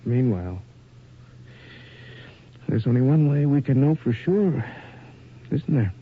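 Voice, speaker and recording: An actor playing an anxious male photographer in a radio drama, husky and tense, speaking naturally. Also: mid-range voice, animated, slow pace. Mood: grave, determined. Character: anxious male photographer, bossy toward his partner. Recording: dull, lo-fi old radio recording.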